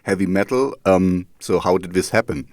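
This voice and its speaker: A man's voice: sits low, moderate pace, darkish tone, no echo. A man from East Germany with a German accent, hosting an interview in a hesitant way, full of fillers and restarts. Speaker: podcast host. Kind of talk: casual interview over a call.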